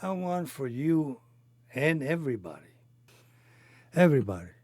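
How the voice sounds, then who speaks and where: A man in his eighties from Cuba, a native Spanish speaker with a Cuban accent, recounting his life in halting, non-native phrasing. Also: fairly high pitch, big pitch swings, a little mellow, no echo. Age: 80s. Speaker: interviewee telling his life story. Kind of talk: reminiscing conversation.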